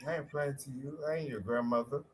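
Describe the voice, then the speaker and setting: A man's voice: medium pitch, steady tone, fast talker. A British man in heated group banter, combative and swearing freely.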